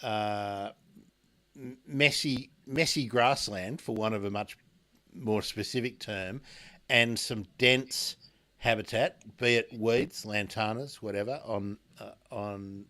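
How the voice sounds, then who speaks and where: Slow, halting Australian man host. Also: fairly low pitch, fairly bright tone. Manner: slow, halting, lots of restarts and fillers. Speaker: radio show host. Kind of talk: casual radio interview chat.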